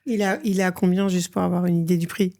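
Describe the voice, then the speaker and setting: Woman host with brisk questions. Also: lowish voice, flat delivery, vocal fry, warm tone, no room sound. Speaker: podcast host, moderator. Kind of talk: moderating live interview.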